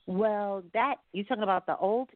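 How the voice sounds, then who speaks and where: A woman's voice: medium pitch, moderate pace, no room sound. A woman talking casually and colloquially as an interviewed singer, with an encouraging, emphatic way of speaking. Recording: muffled phone-like line.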